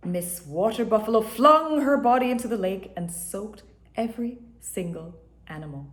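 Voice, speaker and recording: A woman reading a children's picture book aloud with an expressive storytelling manner. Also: mid-range voice. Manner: expressive, slow, storytelling delivery. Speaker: storybook reader. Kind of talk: children's read-aloud narration. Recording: echoey room.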